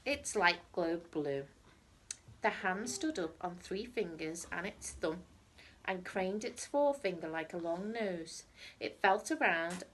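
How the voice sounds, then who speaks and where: A woman reading a children's story aloud like a teacher, in a dramatic, deliberate storytelling manner. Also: lowish voice, a little hiss or hum. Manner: dramatic, deliberate storytelling with emphatic repetition and hushed phrases.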